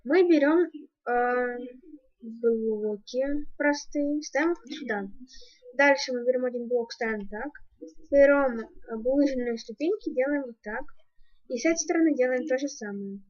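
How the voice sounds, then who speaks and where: A woman's voice: high-pitched, a little slow, darkish tone. A woman giving a casual game building tutorial, thinking aloud and counting her steps as she goes, on a muffled home microphone. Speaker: YouTuber, gaming channel host. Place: indoors at home, screen-recording voice-over.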